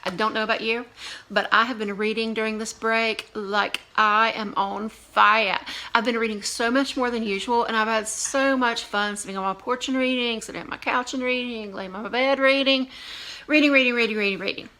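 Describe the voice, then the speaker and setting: A woman English teacher giving a video lesson to young students in an animated, conversational way with emphatic repetition. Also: a little high, moderate pace, rough recording.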